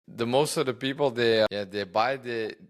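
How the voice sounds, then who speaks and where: A man's voice: mid-range voice, normal speed. A man from Belgium with a Belgian Dutch accent, hosting a podcast and chatting with hesitant, non-native phrasing. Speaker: podcast host. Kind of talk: podcast interview, casual chat.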